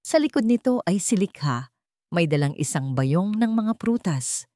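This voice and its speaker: A woman's voice: lowish voice, sing-song, a little slow, warm tone, dry recording. A woman reading a scripted story in a dramatic narration style.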